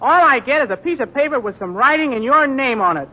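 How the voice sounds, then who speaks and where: A man playing a naive, dim-witted young singer in 1940s radio comedy, innocent and slow on the uptake. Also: very high-pitched, expressive. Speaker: comedy show singer in a sketch. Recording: dull hissy 1940s radio recording.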